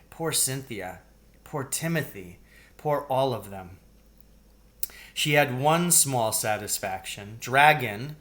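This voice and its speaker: A man's voice: medium pitch, a bit bright. Middle-aged man reading a children's novel. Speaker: storybook reader, teacher. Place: indoors, large living room.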